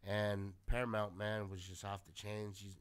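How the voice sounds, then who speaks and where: A man's voice: fairly low pitch, flat delivery, normal speed, smooth. A man with a chest cold chatting casually on a podcast, laid-back and swearing a lot. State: congested. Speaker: podcast co-host. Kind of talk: casual chat.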